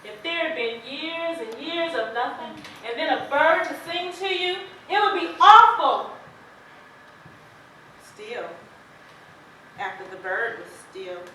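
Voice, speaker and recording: A woman's voice: sits high, expressive, takes it slowly, long pauses, sharp tone, heavily acted. A woman playing a regretful, protective, plainspoken farmer's wife in an amateur stage play, with an emphatic, emotional delivery. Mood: wistful regret. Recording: echoey stage, distant mic, faint noise.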